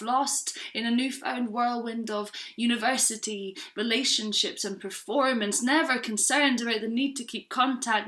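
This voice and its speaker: A woman in her twenties from Scotland recites a spoken word poem in a rhythmic, earnest cadence. Her voice is bright and sits a little high. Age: 20s. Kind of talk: spoken word poetry performance.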